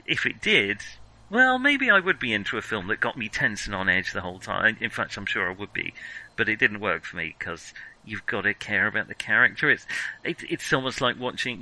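Bright, forceful-voiced man talking film. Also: fairly low pitch, low-quality recording. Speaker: podcast co-host reviewing films. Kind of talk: casual film discussion.

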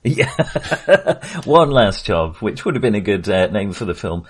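A man's voice: on the low side, sing-song, a little slow, breathy edge. A man co-hosting a film podcast in casual discussion, hedging and correcting himself as he goes. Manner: tentative, hedging and self-correcting.

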